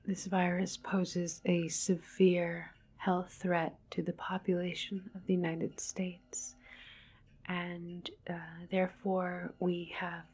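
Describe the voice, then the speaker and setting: A woman playing a calm, reassuring government doctor in an ASMR medical roleplay, soft-spoken and partly whispering, with a hesitant, clinical manner. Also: on the low side, takes it slowly, slightly rough.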